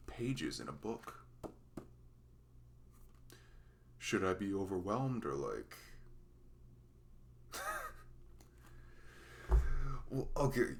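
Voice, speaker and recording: A man playing a dorky, playful male best friend in an ASMR roleplay, with a velvety voice and a halting, rambling, teasing manner. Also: fairly low pitch, gravelly, full character voice. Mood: playful, self-deprecating.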